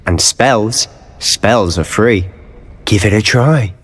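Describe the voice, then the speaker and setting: A man's voice: on the low side, slow, husky. A man playing a confident, roguish young student in a video game, with a British accent and an assured, conspiratorial manner. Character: confident, roguish male student schemer, loyal and cheeky. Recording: long reverb, faint noise.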